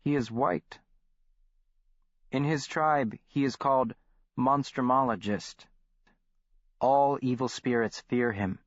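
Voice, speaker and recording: Man voicing solemn old shaman, measured, dry dull audiobook recording. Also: medium pitch, no echo, voice pushed up, lightly acted.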